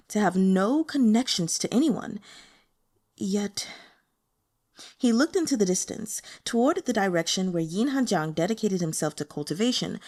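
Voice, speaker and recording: Woman narrator with lively, expressive intonation. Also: mid-range voice. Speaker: audiobook reader.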